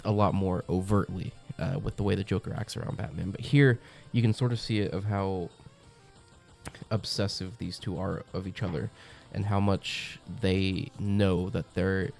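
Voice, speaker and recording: Congested young guy, deep gravelly voice, chatty comic review host, lots of ums, soft music behind. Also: fairly even intonation, moderate pace. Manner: chatty, enthusiastic, many ums and uhs. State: congested from a cold. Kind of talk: casual review monologue.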